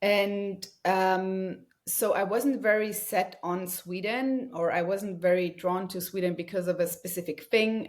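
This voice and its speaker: A woman's voice: mid-range voice, a little mellow. A woman in her thirties from Germany, a guest talking casually and eagerly, with frequent fillers. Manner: chatty, frequent fillers and restarts, enthusiastic. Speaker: guest, company founder. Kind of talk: casual conversational interview.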